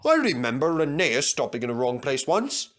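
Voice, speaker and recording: A man voicing a wise old engine in a children's story, explaining and gently admonishing. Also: mid-range voice, big pitch swings, normal speed. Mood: reminiscing. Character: wise old male engine telling cautionary tales.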